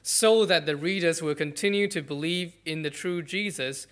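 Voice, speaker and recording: Bright-voiced Malaysian man preaching. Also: fairly high pitch. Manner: earnest, measured preaching in short phrases. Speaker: pastor. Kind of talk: sermon. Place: church.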